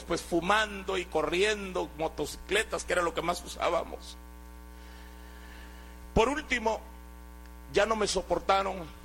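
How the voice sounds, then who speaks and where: A man's voice: high voice, gravelly, fairly bright tone, slight background noise, poor audio. A man from Guatemala, giving an earnest sermon testimony and often restarting his words. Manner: earnest, exhorting, with hesitant restarts.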